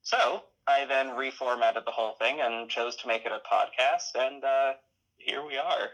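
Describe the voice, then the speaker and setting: A man chatting casually as an interview guest, halting often as he thinks aloud. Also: medium pitch, a bit bright, muffled recording. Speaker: interview guest, audio drama creator.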